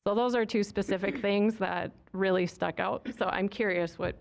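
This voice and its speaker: A woman's voice: medium pitch, fairly even intonation, moderate pace, vocal fry. A woman presenting a report to a city commission, friendly and full of fillers. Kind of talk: meeting presentation. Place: city meeting room.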